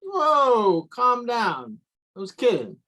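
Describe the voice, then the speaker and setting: A man's voice: very high voice, wildly swooping pitch, takes it slowly, sharp tone, slight background noise, heavily acted. A man reading the part of a smug, lazy stoner at an online table read, drawling and dismissive. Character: smug, lazy stoner male landlord's son.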